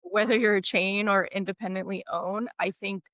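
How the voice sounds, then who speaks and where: A woman's voice: medium pitch, fairly even intonation, moderate pace, fairly bright tone, no echo. A woman from Miami, a podcast guest talking in a friendly, enthusiastic, conversational way about retail. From Miami, USA. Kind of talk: casual interview.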